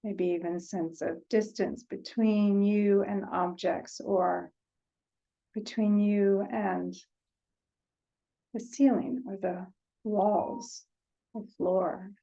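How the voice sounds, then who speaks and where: A woman leading a guided meditation in a gentle, unhurried way, leaving long pauses between short phrases. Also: medium pitch, steady tone, vocal fry, dull audio.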